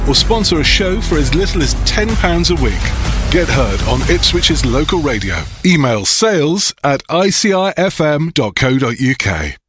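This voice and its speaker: A man reading a radio station ident and advert in an upbeat promo delivery. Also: on the high side. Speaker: station announcer. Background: music bed.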